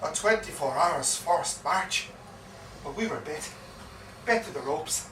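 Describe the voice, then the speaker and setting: Echoey live stage recording, male actor as Irish old soldier, garrulous, forceful, theatrical yarn-spinner, faint noise. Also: fairly high pitch, expressive, a little slow, distant mic. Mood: dramatic, boastful. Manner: theatrical, dramatic storytelling with repeated phrases. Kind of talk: live stage performance of a comic one-act play. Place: live performance in a pub.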